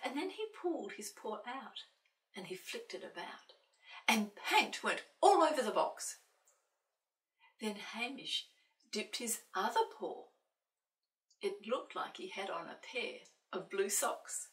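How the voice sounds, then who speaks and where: A woman reading a picture book aloud to children as an expressive storyteller. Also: mid-range voice, slow pace, pauses often. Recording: roomy, distant microphone.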